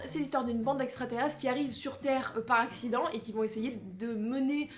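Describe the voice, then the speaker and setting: Une femme à l'français qui parle face caméra comme une vlogueuse, de façon exclamative, joueuse et théâtrale. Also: female voice, fairly high pitch, fairly even intonation, a little fast. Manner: exclamatory, playful, theatrical emphasis. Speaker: vlogger presenting a countdown. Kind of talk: animated casual chat to camera.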